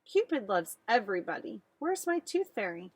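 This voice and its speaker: A woman's voice: mid-range voice, big pitch swings, moderate pace, slight background noise, close to an everyday voice. A woman voicing a dramatic, demanding little girl from a storybook, exclaiming and complaining. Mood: indignant, complaining.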